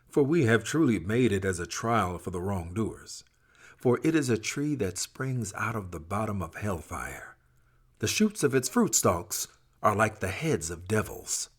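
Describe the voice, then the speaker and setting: A man giving a solemn, dramatic scripture reading in a measured, expressive, formal manner. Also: lowish voice, husky. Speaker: scripture reader.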